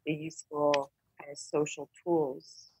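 A woman's voice: low-pitched, little pitch movement, unhurried, darkish tone, slight background noise, rough recording. A woman talking casually about literature as a podcast panelist, thoughtful and often checking in with "right?".

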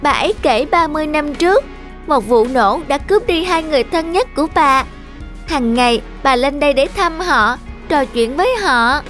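A high-voiced, innocent little girl. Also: expressive, moderate pace, a bit bright, faint music behind. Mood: earnest, pleading. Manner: polite, earnest. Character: innocent, kind, curious little schoolgirl. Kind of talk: dubbed cartoon dialogue.

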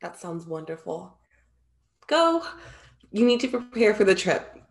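A woman's voice: mid-range voice, sing-song, measured pace, gravelly. A young woman acting an earnest, formal immortal muse in a staged reading, speaking precisely. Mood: tender, decided. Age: young adult. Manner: formal, precise, avoids contractions. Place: indoor room at home, video call.

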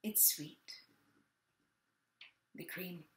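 A woman performing a dramatic monologue as a confused, childlike mother with memory loss, halting and full of wonder. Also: a bit bright, slight background noise. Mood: wondering, drifting. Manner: slow, halting, wondering delivery with lingering pauses. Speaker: actor in a staged reading. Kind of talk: dramatic third-person monologue, read on book. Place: plain indoor room at home. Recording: roomy home webcam sound.

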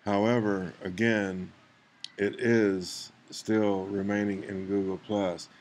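A man giving unscripted screen-recording commentary, halting and rambling, with an aggrieved manner. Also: on the low side, flat delivery, darkish tone. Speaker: video maker, commentator. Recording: clear background noise.

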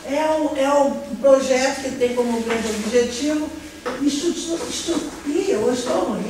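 A middle-aged Brazilian woman lecturing to a class, her fairly high voice bouncing around a big echoing room. She is picked up by a distant camera, with steady background noise. She explains at length, restarting phrases and checking in with her listeners. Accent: Brazilian Portuguese. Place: large classroom with a projector. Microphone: no mic, far camera. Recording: echoey room from far off, noisy.

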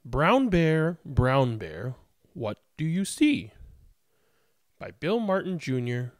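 A man in his thirties, host of a children's read-aloud, speaking in an expressive, sing-song way. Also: a little high, slow pace, dry recording, clean studio sound. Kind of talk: picture-book read-aloud with casual introduction. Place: indoors, small room at home.

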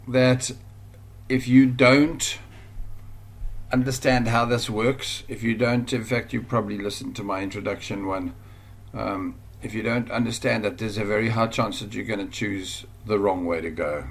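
Rambling South African man. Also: lowish voice. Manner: rambling, informal, many fillers and restarts. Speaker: online course instructor. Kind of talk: casual screen-recorded tutorial. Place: at a computer, voice-over screen recording.

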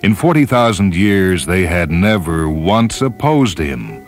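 A man with an extremely low voice narrates fiction in a grave, measured manner. His phrasing is deliberate and theatrical, and orchestral music plays clearly beneath him. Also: very animated. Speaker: narrator reading short fiction. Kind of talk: dramatic scripted story narration. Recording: music under the voice. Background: orchestral piano music.

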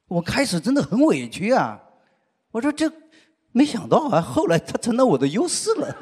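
A middle-aged man doing a stand-up routine on a studio stage into a handheld mic, telling stories with lots of drawn-out "ah" fillers. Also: high-pitched, slightly rough. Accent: Sichuan. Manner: lively storytelling with frequent "啊" fillers. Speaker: stand-up comedian. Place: large indoor studio stage with audience. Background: audience laughter.

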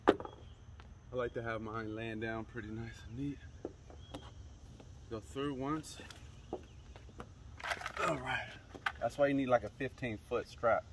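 A young man talks viewers through a how-to in a relaxed, instructive way, speaking straight to them at an everyday mid pitch. He is outdoors in a suburban driveway, picked up by a camera mic that drifts nearer and farther, with a faint hiss of outdoor noise behind him. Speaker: fishing channel host. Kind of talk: casual how-to demonstration. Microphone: camera mic, moving toward and away.

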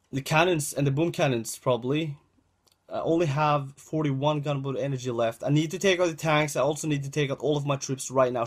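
Young man rambling over gameplay. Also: a little high. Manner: rambling, repeats phrases, addresses viewers as guys. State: dry throat. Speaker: gaming channel host. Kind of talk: casual gameplay commentary.

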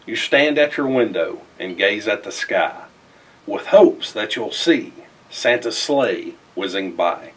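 A middle-aged man reads a rhyming children's picture book aloud in an expressive, theatrical way with emphatic phrasing. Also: on the low side, slow, husky, recorded from a distance, low-quality recording. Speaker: storybook reader. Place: indoors in front of a festive backdrop.

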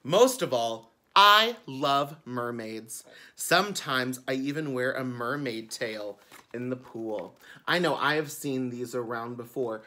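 A man's voice: medium pitch, slow pace, bright, forceful tone. A man, a drag queen, reading a picture book aloud expressively for children, with chatty asides. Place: indoors before a curtain.

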